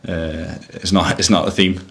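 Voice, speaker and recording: A man's voice: low voice, flat delivery, moderate pace, gravelly, a bit bright. A middle-aged man with a Danish accent answers press conference questions as a football manager, often restarting and repeating words as he searches for the phrase. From Denmark.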